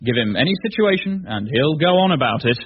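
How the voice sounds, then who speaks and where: A man's voice: medium pitch, animated, fast. A man working as a radio announcer, delivering a scripted introduction to a comedy. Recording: dull old radio broadcast, music behind.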